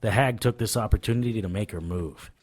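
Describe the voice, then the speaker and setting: A man's voice: on the low side, a little fast, darkish tone, dry recording, close to an everyday voice. An actor playing a cocky, wisecracking paranormal investigator in an audio drama, sarcastic and flippant as he talks and narrates. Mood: dry storytelling. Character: wisecracking, cocky male supernatural investigator narrating his case. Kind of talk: audio drama, first-person noir-style narration and dialogue.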